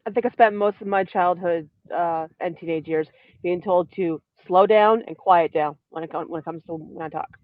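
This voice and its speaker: A woman co-host on a casual livestream who talks fast and laughs often. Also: fairly low pitch, no room sound. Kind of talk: casual group chat. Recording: tinny phone-like line.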